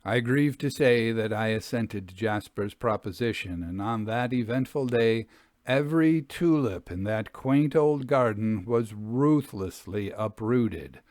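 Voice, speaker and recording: A man reading a literary story as an audiobook narrator, in a measured and deliberate way. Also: on the low side, a little mellow, no echo, clean studio sound.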